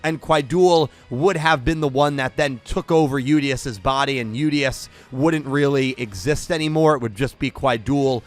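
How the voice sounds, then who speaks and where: A man's voice: medium pitch, normal speed, few pauses, faint music behind. A young man with a head cold, hosting an anime episode review in an enthusiastic, rambling way. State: congested from a cold. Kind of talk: casual solo video review.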